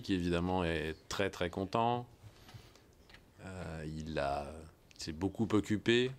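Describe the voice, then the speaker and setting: Enthusiastic man commentating a rocket launch. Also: sits low. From United States. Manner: enthusiastic, conversational, many hesitations. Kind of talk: live event commentary.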